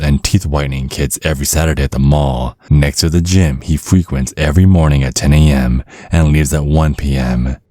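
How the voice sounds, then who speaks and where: A man's voice: a bass voice, dark tone, no room sound, clean studio sound. A man playing a centuries-old vampire father in an ASMR roleplay, protective and slyly polite, teasing with veiled threats. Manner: mock-courteous, insinuating, teasing with veiled threats.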